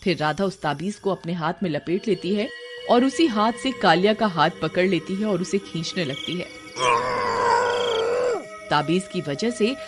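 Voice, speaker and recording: A woman's voice: fairly low pitch, expressive, a little slow. A woman narrating a scripted cartoon horror story as the storyteller. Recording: music behind the voice.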